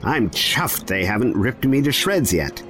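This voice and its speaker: Secretive male time traveller, medium pitch, weary, measured, wry, audio drama, faint music. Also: sing-song, a bit bright. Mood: wry, guarded.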